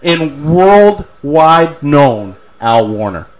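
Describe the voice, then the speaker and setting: A man's voice: a little high, sing-song, drawn-out words, low-quality recording. A man hosting a casual call-in show, chatting loosely and often repeating words as he works into his point. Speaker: host of a pinball show.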